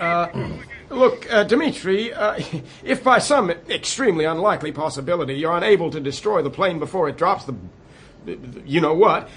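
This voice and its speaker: An American President in a satirical radio drama, pitched high for a man. He is placating and flustered, stumbling politely through a hotline call, with faint noise behind him. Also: fairly bright tone. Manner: halting, polite, stammering understatement. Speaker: actor in a radio play.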